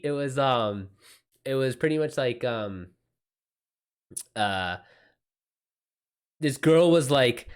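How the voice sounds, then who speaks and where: A man hosting a livestream, chatting casually with viewers in loose slang and swearing freely. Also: mid-range voice. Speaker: livestream host.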